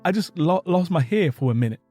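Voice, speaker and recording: Man voicing a flustered, high-pitched young Southern woman. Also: lively intonation, brisk, warm tone, faint music behind. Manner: hesitant, stammering. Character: flustered, smitten, self-conscious young Southern woman of twenty-three.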